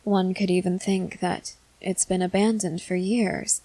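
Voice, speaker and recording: A woman voicing a composed, haughty junior high schoolgirl with formal, measured, articulate speech in a scripted ASMR roleplay. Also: flat delivery, dark tone, no echo. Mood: disdainful, musing.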